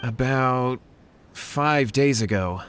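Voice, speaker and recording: A man's voice: medium pitch, slow pace, fairly bright tone, a little hiss or hum. An actor playing an anxious, pleading young man in a video game, earnest and deferential. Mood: earnest, worried. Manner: imploring, earnest, flustered. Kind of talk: video game character acting.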